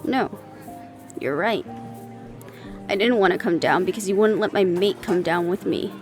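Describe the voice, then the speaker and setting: A woman's voice: medium pitch, measured pace, faint music behind, close to an everyday voice. A woman acting in an audio roleplay as a young adult daughter, defiant, loyal and argumentative, with an emotional, sarcastic edge. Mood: tense, guarded.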